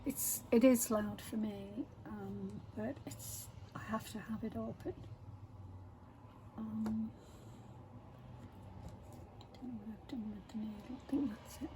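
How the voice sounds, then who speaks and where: A woman vlogging casually while she stitches at home, rambling softly with many pauses, her voice croaky from a sore throat. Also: on the high side, fairly even intonation, slight background noise, rough recording. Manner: soft-spoken, rambling, many pauses and fillers, often half-whispered. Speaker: craft vlogger. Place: home room with window open.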